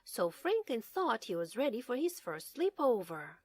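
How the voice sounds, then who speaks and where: A woman's voice: on the high side, big pitch swings, normal speed, dry recording. A woman narrating a children's story as a read-aloud. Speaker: storybook reader.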